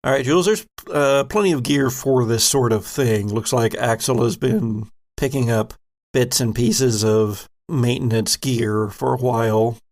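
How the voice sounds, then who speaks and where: Gravelly guy running a tabletop game, slow and measured. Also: mid-range voice, darkish tone. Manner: measured, descriptive. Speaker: game master.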